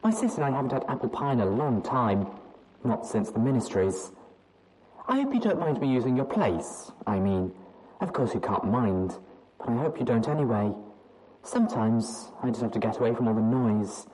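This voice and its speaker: A woman's voice: rumbling low, sing-song, moderate pace, background noise. A woman reading aloud as an anxious young adult mare pony who rambles hesitantly and doubts herself. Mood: confiding. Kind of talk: audiobook reading of fan fiction.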